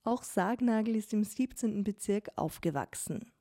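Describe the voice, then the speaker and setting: A woman radio reporter narrating a cultural feature in an even, measured way. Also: medium pitch. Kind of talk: scripted narration.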